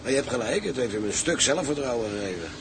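An actor playing a gruff, fatherly ship's engineer with salty sailor talk in a radio drama. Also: medium pitch, expressive, quick pace, lightly acted. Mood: wry. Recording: noisy outdoor drama scene.

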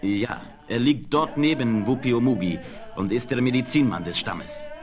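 A brave, proud young chief from a western radio play played by a male actor. He has a medium-pitched voice and declaims solemnly, in stately, formal phrases. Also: normal speed, over music, close to an everyday voice. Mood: grave.

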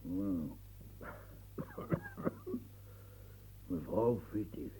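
An actor playing an aging fortress-building marshal in a radio play, declaiming grandly and stammering when moved. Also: male voice, low-pitched, lively intonation, very slow, full character voice, low-quality recording. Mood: brooding, declaiming. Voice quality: gravelly, dark and husky. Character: aging royal fortress engineer, proud, brooding. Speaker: military engineer marshal. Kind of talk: radio drama acting.

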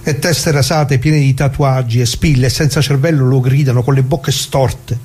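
An actor playing an anguished, plain-spoken ordinary man who muses haltingly and repeats himself in a theatrical radio monologue. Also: mid-range voice, fairly even intonation, a little mellow, slightly rough, lightly acted. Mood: indignant, dismayed. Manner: halting, musing, rhetorical questions, repeats himself.

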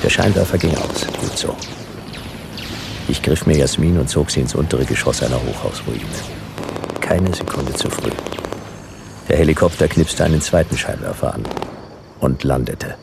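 An actor playing a world-weary detective, narrating a sci-fi radio play in dry, clipped noir fragments with a gravelly voice. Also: male voice, rumbling low, moderate pace, long pauses, background noise. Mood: terse, tense. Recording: music behind the voice.

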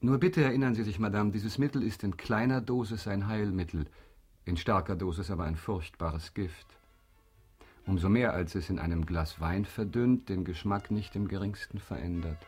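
Radio drama lead: calculating aristocrat, low husky voice, courteous, deliberate, quietly insinuating. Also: male voice, faint music behind. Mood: suave, calculating. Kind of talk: German radio drama acting.

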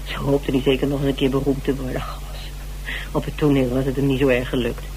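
Shrewd, persistent investigator, faintly noisy recording. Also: female voice, rumbling low, level tone, fast, warm tone. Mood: blunt, persuasive. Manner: probing, matter-of-fact. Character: shrewd, persistent woman investigator, blunt and composed. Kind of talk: radio drama acting. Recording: noisy, humming old radio recording.

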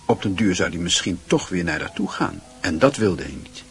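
A man's voice: low voice, sing-song, normal speed, gravelly, faint music behind. A man giving scripted literary narration for a Dutch radio play.

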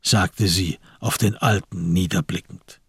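A man giving a deliberate, solemn scripted literary narration for a radio drama. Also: sits low, fairly even intonation, creaky, a bit bright, breathy edge, dry recording, studio quality.

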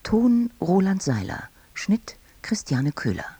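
A woman with a dark, husky voice reading credits as a formal radio announcement. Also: mid-range voice, sing-song, slow, dry recording.

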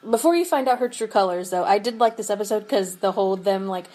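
A woman's voice: medium pitch, fast, darkish tone. Chatty young woman podcast co-host. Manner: chatty, giggly, lots of fillers. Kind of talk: casual chat.

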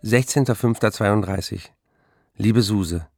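An actor playing a troubled writer confessing tenderly and remorsefully to his wife in a German radio play, intimate and measured. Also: on the low side, vocal fry, faint music behind. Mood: tender confession. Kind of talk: German radio play, reading letters and memoirs.

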